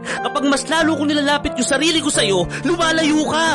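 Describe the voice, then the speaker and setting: A man's voice: very high voice, steady tone, brisk. A man with a Filipino accent playing a hurt, pleading boyfriend in an online radio drama, coaxing and insistent. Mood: hurt, angry. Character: hurt, pleading boyfriend planning an anniversary getaway. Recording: music bed under the voice.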